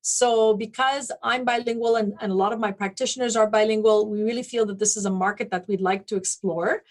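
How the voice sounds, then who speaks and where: A woman's voice: mid-range voice, fairly even intonation, a little fast. A woman speaking as an interview guest, recounting things in an articulate, detailed, conversational way. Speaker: interview guest, event planning business owner.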